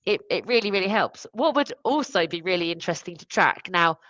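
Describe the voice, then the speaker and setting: A woman's voice: fairly low pitch, expressive, fairly quick, a bit bright, no room sound, muffled recording. A woman explaining things in an emphatic, conversational way during a live business discussion, as a consultant would. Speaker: recruitment automation consultant. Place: online live stream.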